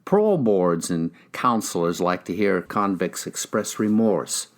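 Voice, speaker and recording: A man's voice: deep voice, animated, measured pace. A man reading scripted first-person crime fiction as an audiobook narrator.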